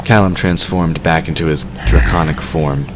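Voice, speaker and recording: Male narrator for fantasy audio drama: exceptionally low voice, scripted storytelling, clear ambient noise behind. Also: steady tone, moderate pace.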